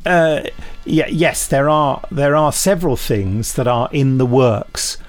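A man's voice: mid-range voice, slow, faint music behind. A British man in casual podcast chat, jokey and hesitant, rambling through his answers. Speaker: podcast host.

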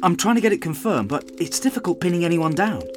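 Concerned reporter, hesitant, very lively pitch, audio drama. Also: male voice, fast talker, background noise. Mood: uneasy. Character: worried male reporter.

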